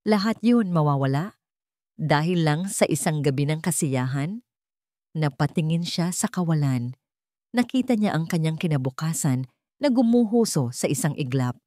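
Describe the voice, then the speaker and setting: Female storyteller, lowish pitch, dry close sound, scripted romance drama narration. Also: lively intonation, moderate pace, warm tone, no room sound.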